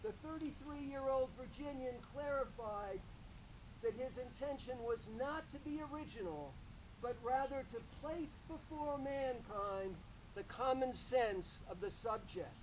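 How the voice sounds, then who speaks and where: Loud folksy man telling history outdoors. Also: very high voice, unhurried, noisy background. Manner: loud, folksy, jokes with the audience. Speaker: speaker reading the Declaration at a public ceremony. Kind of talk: outdoor public speech with history talk.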